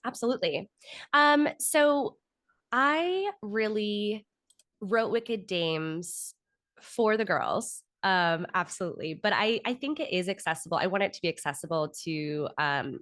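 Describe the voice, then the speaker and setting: A woman's voice: mid-range voice, animated, measured pace, a bit bright, no echo, clean studio sound. A woman in her thirties with an American accent, giving conversational answers as an interview guest. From Florida, United States. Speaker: podcast guest, author and actor.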